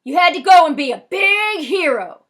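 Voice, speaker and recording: Storybook gunman, male, exasperated and commanding, bright forceful bark acted by a female reader. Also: sits high, normal speed, pitched above the performer's own voice. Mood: furious. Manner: barking, commanding.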